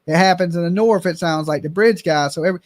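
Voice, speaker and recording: A man's voice: high voice, fast talker, dry recording. A man hosting a casual chat, blunt and opinionated, swearing now and then.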